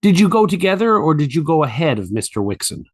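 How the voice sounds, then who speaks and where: A man's voice: on the high side, very animated, fast. A man playing a methodical, probing 1890s prosecuting attorney, questioning formally and precisely in a dramatized trial reading. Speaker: voice actor reading a trial transcript. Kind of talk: dramatized courtroom transcript reading, examination.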